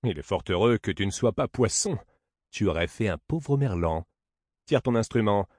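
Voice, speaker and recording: A man's voice: fairly low pitch, animated, normal speed, a little mellow, no echo. A man playing a sly, sceptical servant in a stage play, teasing with dry sarcasm. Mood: sarcastic teasing. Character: Grégoire, mocking, sly, sceptical male servant.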